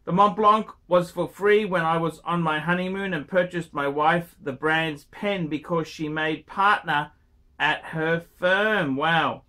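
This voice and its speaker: A man's voice: fairly high pitch, measured pace, pushed and bright. A man hosting a watch channel, reading a viewer's email aloud and then replying in a blunt, informal way with casual swearing.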